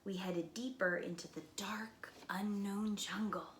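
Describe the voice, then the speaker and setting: A woman preschool teacher reading a picture book aloud expressively, with dramatic slowed emphasis and hushed moments. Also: mid-range voice, steady tone. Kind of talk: expressive children's read-aloud. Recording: roomy echo, light background noise.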